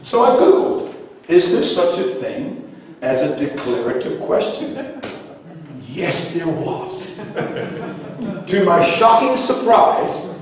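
A middle-aged man from New Zealand who grew up in Iceland, preaching a sermon with passion, at times rising to shouts. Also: high-pitched, very animated, slow, long pauses, a crowd in the background. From New Zealand, raised in Iceland. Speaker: pastor. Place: large church hall with high ceiling. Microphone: handheld mic, heard from a distance in the room. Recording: echoey hall from far off.

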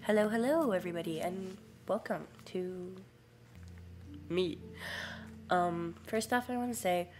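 A young woman with a raspy, hoarse voice, just getting over a sore throat, chatting excitedly through a let's-play of a game she has never played. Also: fairly low pitch, unhurried, long pauses, faint music behind. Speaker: gaming YouTuber. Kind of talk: casual let's-play commentary.

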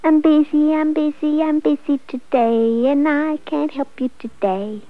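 A woman's voice: high voice, fairly even intonation, a little slow, no room sound, pitched above the performer's own voice. A woman voicing a lazy, evasive male pig in a children's story, always repeating excuses. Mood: hurried excuses. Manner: repetitive, excuse-making. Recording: dull lo-fi sound with faint music.

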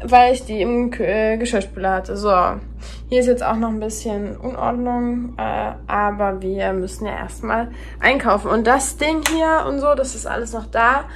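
A woman's voice: fairly high pitch, moderate pace. A young woman in her twenties chatting casually to her phone camera in a home kitchen, rambling with many fillers. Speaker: vlogger. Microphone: phone camera built-in mic. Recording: noisy phone recording.

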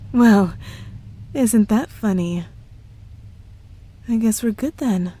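A woman's voice: on the high side, darkish tone. A woman playing a playful, possessive witch girlfriend in an ASMR roleplay, teasing and coy with a sweetly threatening edge.

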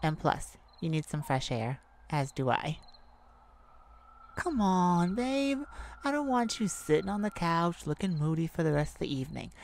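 A woman's voice: fairly low pitch, sing-song, normal speed, darkish tone. A woman playing a jovial, affectionate girlfriend in an intimate, casual ASMR roleplay, with a playful, chatty manner. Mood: playful, coaxing. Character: jovial, affectionate girlfriend treating partner to a night out. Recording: clear background ambient noise. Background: ambient sound effects.

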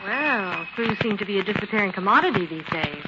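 A woman acting as an inquisitive, wry sidekick in an old radio mystery. Her mid-pitched voice comes through a muffled recording with heavy noise and clicks. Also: expressive, a little fast, lightly acted. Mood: curious, wry. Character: wry, inquisitive female detective's sidekick, adult. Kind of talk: 1940s radio drama acting.

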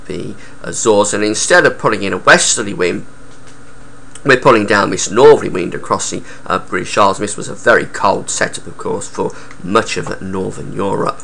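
A young man with a croaky voice and a sore throat, explaining weather charts with many halting "uh" fillers into a webcam in a small room at home. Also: lowish voice, sharp tone, a little hiss or hum. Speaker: weather forecaster.

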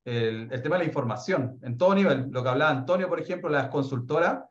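A man's voice: mid-range voice, lively intonation, fast. A man in his thirties with a Chilean accent, chatting animatedly on a video call from an office and restarting his sentences often. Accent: Chilean Spanish. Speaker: researcher. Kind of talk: casual panel comments on a video call. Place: office with bookshelves. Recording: roomy, dull video call audio.

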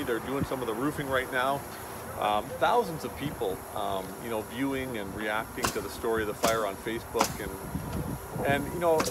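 A man in his forties giving an informal, reflective devotional message to camera like a pastor, in a halting, earnest way. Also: medium pitch. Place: outdoors beside a road and small wooden chapel. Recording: outdoor recording with loud vehicle noise. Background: loud outdoor ambience, passing vehicles.

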